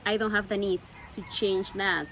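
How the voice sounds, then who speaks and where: A woman's voice: mid-range voice, little pitch movement, normal speed, a bit bright, low-quality recording. Woman, casual home talk, faint noise behind her. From Peru. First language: Spanish. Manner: searching for words, repeating herself. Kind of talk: casual conversation.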